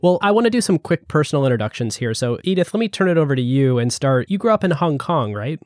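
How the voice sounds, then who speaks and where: A man's voice: a little high, big pitch swings, quick pace, a little mellow, no echo, studio quality. A man hosting a casual podcast interview, introducing his guests with upbeat, easy banter. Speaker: podcast host.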